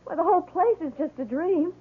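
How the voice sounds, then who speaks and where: High-voiced, trusting young wife. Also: female voice, lively intonation, brisk. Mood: emotional, thrilled. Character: sweet, trusting young expectant wife, eager and grateful. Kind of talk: old-time radio drama acting. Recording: tinny narrow-band old radio recording.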